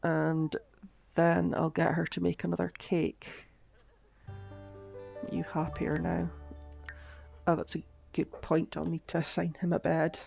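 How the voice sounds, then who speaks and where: A woman chatting casually through gameplay commentary, her voice cutting out from a lingering cough as she thinks aloud with soft asides. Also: deep voice, completely flat delivery, slow pace, creaky, soft background music. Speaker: game streamer.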